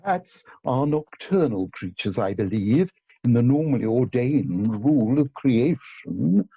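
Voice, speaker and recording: An actor playing a pedantic, solemn country-house gentleman in an amateur radio play, speaking in formal, measured phrases. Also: medium pitch, expressive, gravelly, breathy edge, highs cut off, full character voice. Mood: pompous. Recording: music behind, home online recording.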